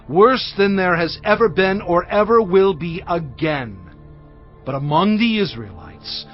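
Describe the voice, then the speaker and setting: An impassioned, stern prophet confronting a king in an audio drama. He declaims forcefully in a fairly high male voice, with music behind and slightly dull sound. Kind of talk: dramatized audio Bible acting.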